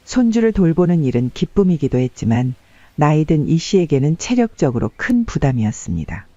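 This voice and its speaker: Soft-toned male narrator, higher-pitched voice. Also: very animated, a little fast, dry recording, muffled recording. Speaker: story narrator. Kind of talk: scripted audiobook narration of a family story.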